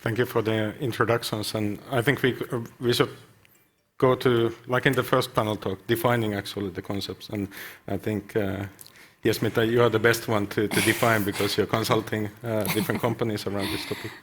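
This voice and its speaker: A man moderating a panel discussion, soft-spoken, with frequent yeahs. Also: lowish voice, flat delivery, a little fast.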